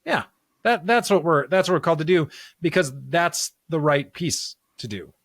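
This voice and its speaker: A man's voice: a little high, expressive, moderate pace, fairly bright tone, no room sound, studio quality. A man co-hosting a podcast, discussing scripture conversationally in an earnest way, often posing rhetorical questions. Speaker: podcast co-host.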